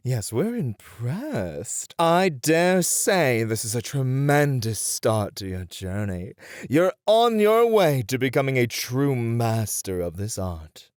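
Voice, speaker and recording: A man playing a courtly, gently teasing gentleman tutor of flirtation in a scripted ASMR roleplay, with genteel, flowery, measured speech. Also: mid-range voice, big pitch swings, no room sound, studio quality. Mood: impressed, gracious.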